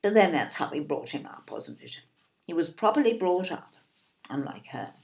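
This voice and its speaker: A woman's voice: fairly low pitch, lively intonation, moderate pace, a little mellow. A woman acting in an audio play as an indignant, snobbish older English mother, fussy and emphatic, with an English accent. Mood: indignant blaming. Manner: emphatic, repetitive, tag questions.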